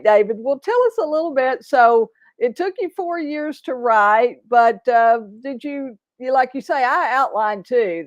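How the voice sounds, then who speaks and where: A woman's voice: medium pitch, dry recording. A woman hosting an interview podcast, chatty and affirming, running long sentences together over a video call. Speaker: podcast host. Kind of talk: casual interview chat. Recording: dry, dull lo-fi sound.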